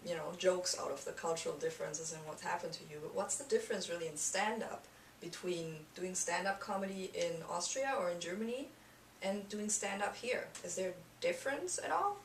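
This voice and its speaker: A woman's voice: on the low side, brisk, gravelly. A woman interviewing a guest in a casual, chatty way, with lots of fillers and friendly questions. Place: small room. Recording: roomy echo, distant mic, faint noise.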